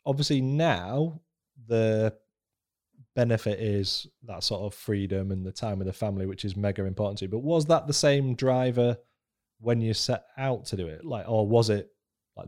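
Man, interviewer, low voice, blunt, swears casually. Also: normal speed, darkish tone. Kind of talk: casual conversation.

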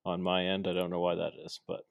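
A man's voice: sits low, monotone, fast talker, creaky, no room sound, studio quality. A man hosting a podcast in a casual, rambling way with many fillers and restarts. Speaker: podcast host. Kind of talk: casual chat.

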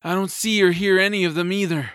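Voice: medium pitch, steady tone, fairly quick, a bit bright, a little hiss or hum, natural voice. Earnest young boy hero played by an actor. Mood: relieved, worried. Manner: earnest, plain-spoken.

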